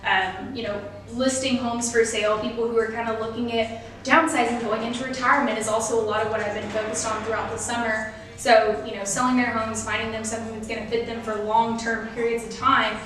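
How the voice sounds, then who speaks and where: A woman's voice: on the high side, little pitch movement, brisk, a bit bright, recorded from a distance, a little hiss or hum. A young woman from Texas, a real estate agent and guest, talking in an upbeat, chatty way in a casual interview. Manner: upbeat, talkative, laughs often. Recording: echoey room, voice off-mic.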